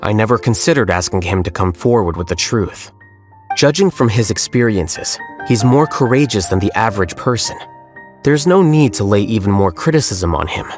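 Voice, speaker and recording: An actor playing an aloof, blunt young scholar who speaks in a dry, matter-of-fact way in a game cutscene. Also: male voice, on the low side, animated, normal speed, faint music behind, natural voice. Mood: cool.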